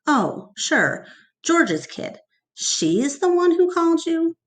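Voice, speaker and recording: A woman's voice: very high voice, sing-song, slow pace, voice pushed up, full character voice. A woman voicing a blustering, demanding businessman in a storybook, talking in a brash, salesman-like way. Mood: boastful. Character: Mac, blustering, demanding man who runs a mall attraction. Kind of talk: storybook read-aloud character voice.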